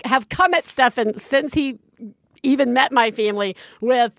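A woman's voice: high voice, moderate pace, fairly bright tone, dry recording, studio quality. A woman with a Southern US accent hosting a podcast in casual chat, rambling, laughing often and mocking herself. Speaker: podcast host.

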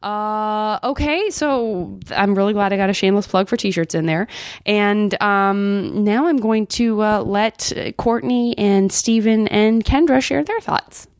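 A woman's voice: mid-range voice, unhurried, a little mellow, smooth, no echo. An American woman hosting a podcast, chatting casually and reading out messages in a rambling, effusive way with self-interruptions. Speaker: podcast host. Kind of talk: casual chat, reading out listener emails.

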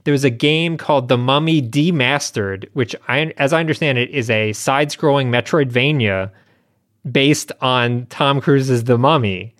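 Man, medium pitch, dry close recording, podcast co-host, casual chat. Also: normal speed, fairly bright tone, no room sound.

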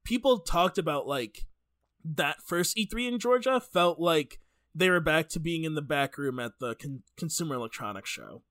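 A man's voice: a little high, expressive, normal speed, fairly bright tone, no echo, clean studio sound. A man hosting a casual podcast, telling stories in an informal, jokey, sweary way. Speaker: podcast host. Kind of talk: casual chatty podcast storytelling.

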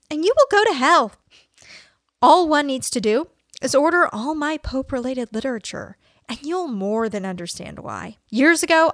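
An aggrieved evangelist as read by a woman delivers a defiant tract in a fairly high voice. The delivery is emphatic and conspiratorial, full of indignant declarations, recorded close and dry. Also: fairly bright tone, no room sound. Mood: boastful, aggrieved. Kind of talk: dramatic reading of a religious tract.

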